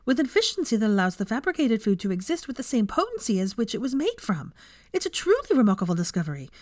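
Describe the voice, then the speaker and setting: Bookish young pupil voiced by a woman narrator: high, excitable, eager, formally spoken, for an audiobook. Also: no echo, studio quality. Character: eager, studious young schoolgirl, breathlessly curious. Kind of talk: audiobook character voice.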